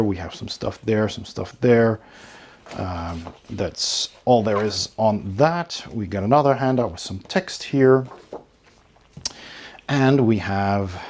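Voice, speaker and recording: Slow-talking, slightly husky low-voiced man. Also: a little mellow. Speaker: tabletop game reviewer and channel host. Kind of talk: casual unboxing commentary.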